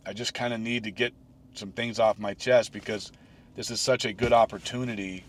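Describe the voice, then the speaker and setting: A man's voice: fairly low pitch, a little hiss or hum. A man in his late forties talking alone on his own podcast, rambling with many restarts and fillers. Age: 40s. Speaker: podcast host. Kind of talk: solo reflective podcast talk.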